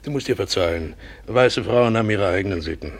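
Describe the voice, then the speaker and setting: Man playing colonial trader, low creaky voice, wary, scornful, radio drama. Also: fairly quick, natural voice. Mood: embarrassed, scornful. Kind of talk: German radio drama acting.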